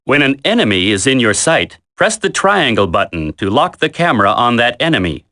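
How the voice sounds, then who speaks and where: Firm, lively-pitched military game instructor. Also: male voice. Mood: instructive, firm. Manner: instructive, deliberate, commanding. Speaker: tutorial instructor. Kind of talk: scripted video game tutorial narration.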